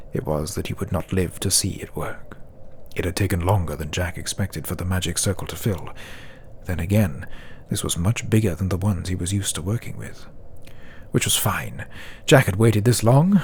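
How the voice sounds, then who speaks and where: A man with a fairly low voice narrates a fantasy audiobook. His delivery is scripted and dramatic, with deliberately placed phrases. Faint ambient noise sits behind him. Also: animated, darkish tone.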